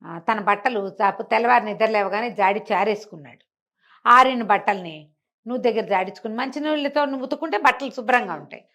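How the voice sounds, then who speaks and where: A woman's voice: mid-range voice, fast talker, a bit bright. A woman storyteller telling a bedtime folk tale conversationally, repeating and explaining small details as she goes.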